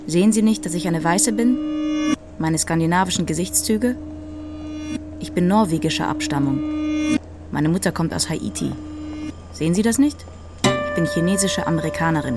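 An actress playing a polite, unbothered female immigration officer in a radio play, speaking calmly. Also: lowish voice, moderate pace, long pauses, over loud music, close to an everyday voice. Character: calm female immigration officer, matter-of-fact. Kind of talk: radio drama acting.

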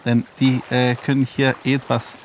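A man's voice: medium pitch, flat delivery, measured pace, a little hiss or hum, poor audio. Older male mountain guide telling legends. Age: 70s. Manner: hesitant, with frequent fillers. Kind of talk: telling a local legend in a report clip.

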